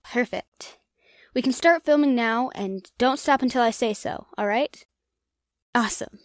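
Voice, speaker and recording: A woman's voice: a little high, measured pace, no room sound, studio quality, lightly acted. A woman acting a cocky young ghost-hunting vlogger in an audio drama, brash and teasing. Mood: reassuring. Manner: brash, teasing, talks herself up.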